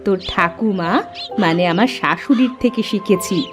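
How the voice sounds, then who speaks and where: A woman's voice: mid-range voice, moderate pace, soft background music, lightly acted. A woman voicing a kindly, patient village mother in a cartoon story, with a motherly way of talking. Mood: cheerful.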